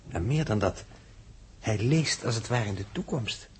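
Low-voiced, wondering male crewman. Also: lively intonation, unhurried, breathy edge, heavily acted. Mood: awed. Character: awed, observant male spaceship crew member. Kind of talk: 1960s Dutch radio drama acting. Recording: old radio recording with faint noise.

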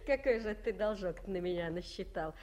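An actress playing a gossipy young village wife in a 1950s radio play, talking in folksy rural speech and giggling often. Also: female voice, medium pitch, normal speed, darkish tone, slight background noise, close to an everyday voice.

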